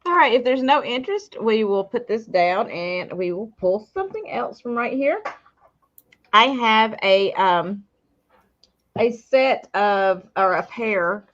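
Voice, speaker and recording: A woman's voice: on the high side, expressive, slow pace, a bit bright, muffled recording. Chatty woman hosting a live sale. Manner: chatty, laughs often. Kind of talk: casual live sales chat.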